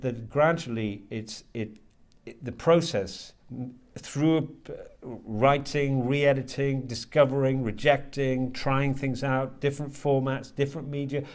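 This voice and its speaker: A man's voice: medium pitch, a little mellow. Hesitant, thoughtful man in interview. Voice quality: hesitant, halting delivery with repeated words. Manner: thoughtful, circling toward his point. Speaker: university creative writing lecturer being interviewed. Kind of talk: reflective interview talk.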